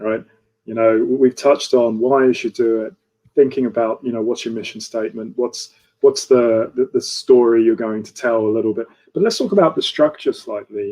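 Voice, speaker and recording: A man's voice: mid-range voice, a little mellow, low-quality recording. A man moderating an online fireside chat over a video call, conversational and often ending his points with "right?".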